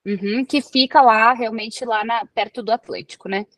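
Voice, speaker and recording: A woman from Brazil with a Brazilian Portuguese accent, a researcher talking as a guest in a relaxed interview and explaining with everyday examples. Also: fairly high pitch, moderate pace, no room sound. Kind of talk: casual interview conversation.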